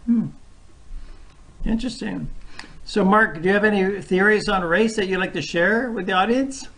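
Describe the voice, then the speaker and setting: A man with a notably high voice leads a casual discussion. He strings his thoughts together loosely, drifting from one point to the next. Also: normal speed, fairly bright tone, rough recording. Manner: rambling, loosely strung thoughts. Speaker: host.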